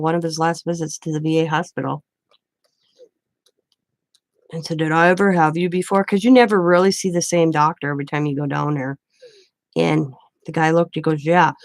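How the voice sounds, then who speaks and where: A woman's voice: low-pitched, no echo. A woman from the Midwest, chatting casually and rambling while she crafts, laughing often. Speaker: craft vlogger.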